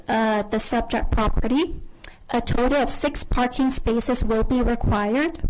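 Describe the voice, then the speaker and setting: A woman's voice: fairly high pitch, darkish tone, a little hiss or hum, rough recording. A woman on town staff reading a formal report aloud at a council hearing. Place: council chamber.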